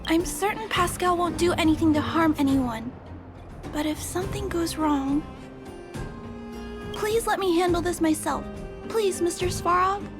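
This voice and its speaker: A woman voicing a gentle, earnest young girl in a video game, polite and resolute. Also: extremely high pitch, measured pace, pauses often, music in the background. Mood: earnest, concerned.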